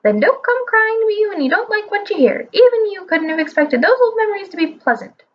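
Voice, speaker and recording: A woman voicing a cheeky female parrot with a shrill, scratchy, squawking voice. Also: very animated, a little fast, muffled recording. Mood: scolding.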